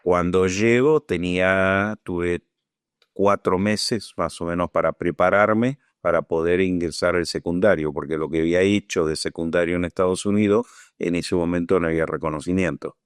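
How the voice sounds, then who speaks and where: A man in his sixties with an Argentine accent, giving a measured, reflective testimony in an interview and sometimes pausing to find his words. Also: low voice, steady tone, clean tone, no room sound, studio quality. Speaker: Falklands War veteran interviewee.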